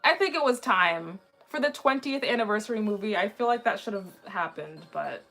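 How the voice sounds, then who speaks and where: A woman in her twenties chatting casually on a livestream in a rambling way, slightly raspy from a sore throat. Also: medium pitch, expressive, a little fast, a bit bright, low-quality recording. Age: 20s. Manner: chatty, rambling, frequently restarting sentences. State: mildly sick with a sore throat. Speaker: anime livestream host. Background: background music.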